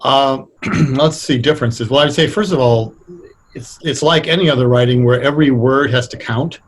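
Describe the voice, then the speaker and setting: A middle-aged or older American man explaining things conversationally as an interview guest, thoughtful and halting as he picks his words. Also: medium pitch, low-quality recording. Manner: hesitant, thoughtful, halting delivery. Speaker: interview guest, radio playwright.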